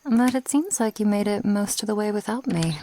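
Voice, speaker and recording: A woman playing a devoted, possessive and murderous vampire girlfriend in an intimate ASMR roleplay, slow and breathy, drifting into whispers close to the microphone. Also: medium pitch, animated, warm tone, slight background noise, lightly acted. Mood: tender.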